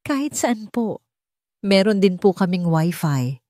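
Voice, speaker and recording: Woman voicing a gentle, sweet young librarian. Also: mid-range voice, big pitch swings, measured pace, dry recording, studio quality. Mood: polite. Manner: sweet, polite.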